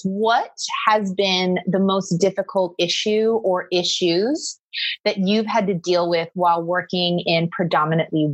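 A woman hosting a conversation podcast, speaking in a measured, earnest way as she introduces her guests. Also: lowish voice, dry recording. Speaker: podcast host.

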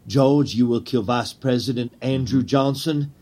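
An actor playing a proud, nervous ringleader of assassins, speaking in a theatrical, formal manner in a period drama. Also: male voice, medium pitch, measured pace, darkish tone, natural voice. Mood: grave, rousing. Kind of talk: historical audio drama acting.

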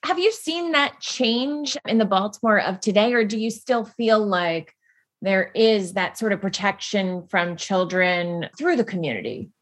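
A woman's voice: mid-range voice, lively intonation, unhurried. A woman hosting a TV interview, delivering a polished scripted intro and asking friendly questions. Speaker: TV host.

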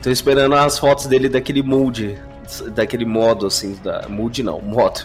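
A Brazilian man in a casual podcast chat, halting as he goes and circling back to rephrase himself. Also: mid-range voice, steady tone, faint music behind. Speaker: podcast co-host.

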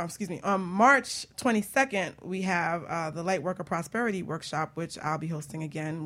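A woman hosting a radio talk show in a casual, chatty, informal way. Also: fairly low pitch.

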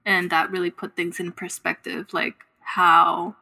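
A woman's voice: on the low side, flat delivery, measured pace, gravelly, sharp tone. A woman in her late twenties chatting casually as a guest on a podcast. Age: late 20s. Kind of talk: casual chat.

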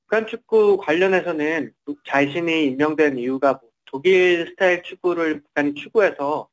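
Male reporter, slightly high pitch, semi-formal interview account, hesitant phrasing with self-corrections. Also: moderate pace, rough recording.

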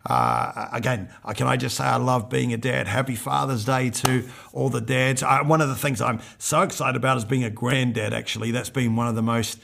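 An older male pastor preaching a sermon in a hoarse, croaky voice, reading scripture earnestly and with emphasis. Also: mid-range voice, steady tone, a little fast, hardly any pauses, a bit bright.

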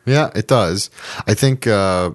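A man's voice: fairly low pitch, slow pace, dry recording. A Canadian man chatting casually as a podcast co-host. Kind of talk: casual group chat about soccer.

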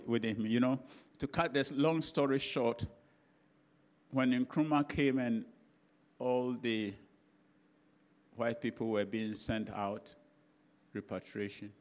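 An older man with a Ghanaian accent, telling a chatty anecdote in a sermon as a preacher. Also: mid-range voice. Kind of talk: sermon storytelling.

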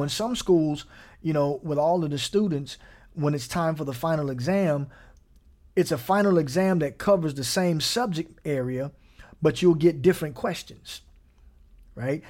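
A man's voice: a little high, a little mellow. Man preaching a conversational sermon. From Gary, Indiana. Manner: conversational preaching with frequent "right?" checks.

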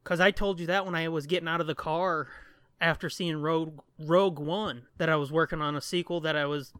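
A man's voice: a little high, fairly even intonation, fairly quick, a bit bright, clear, resonant tone, no echo, clean studio sound. A man co-hosting a podcast in casual, rambling and casually profane chat. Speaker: podcast co-host.

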